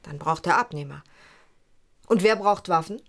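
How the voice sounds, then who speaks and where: A woman's voice: on the low side, normal speed, a bit bright. An actress playing a detective's inquisitive female secretary in a radio crime drama. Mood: curious.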